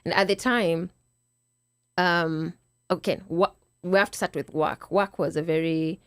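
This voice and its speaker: A woman's voice: on the low side, fairly even intonation, a little slow, smooth, no room sound, studio quality. A middle-aged Kenyan woman, a podcast guest, chatting casually with a slightly nasal voice, often restarting and quoting herself. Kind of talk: casual interview chat.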